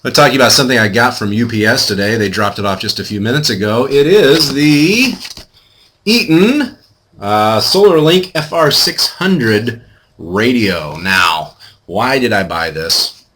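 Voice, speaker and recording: A man's voice: medium pitch, animated, measured pace, a bit bright, slight background noise. A middle-aged American man giving a casual product review in a chatty, self-deprecating, emphatic way. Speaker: home video blogger.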